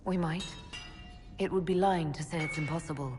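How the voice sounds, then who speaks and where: An actress playing a calm, frank heroic leader in a video game, speaking in a direct, plain-spoken way. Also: female voice, deep voice, moderate pace, big-room sound, music in the background, lightly acted. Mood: frank, concerned. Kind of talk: video game dialogue acting.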